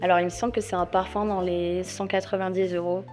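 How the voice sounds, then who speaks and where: A woman contestant pitching a product to judges off the cuff, with lots of fillers and a chatty, persuasive manner. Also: little pitch movement, fast talker, a little mellow, soft background music. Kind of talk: improvised sales pitch.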